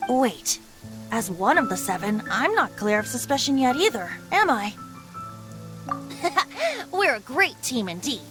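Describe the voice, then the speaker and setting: A voice actor playing a carefree young bard who is secretly a wind god, light, playful and lilting, over game music. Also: male voice, very high voice, very animated, measured pace, full character voice. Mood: serious, musing. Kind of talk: video game voice acting. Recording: game cutscene with clear music.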